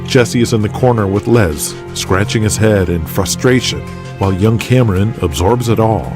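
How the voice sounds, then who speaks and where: A male narrator with a very low-pitched voice delivers scripted, present-tense dramatic narration. Music runs clearly underneath, as in a serialized audio drama. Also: normal speed.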